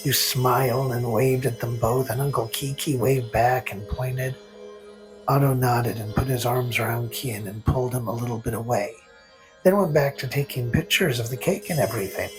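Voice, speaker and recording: Guy telling a fantasy story, gentle and whimsical, mid pitch, soft music under it. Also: normal speed, poor audio. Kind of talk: scripted second-person fantasy narration. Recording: faint music under narration.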